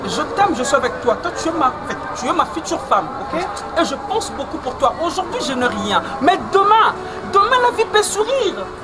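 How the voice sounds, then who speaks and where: Broke young boyfriend, animated, played by a man. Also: very high-pitched, normal speed, a loud crowd, low-quality recording. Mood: earnest. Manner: earnest, hesitant, searching for words. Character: broke young man pleading with his girlfriend.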